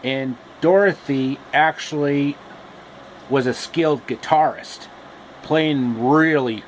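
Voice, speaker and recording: Slow, halting man telling a casual story. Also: mid-range voice, slight background noise, rough recording.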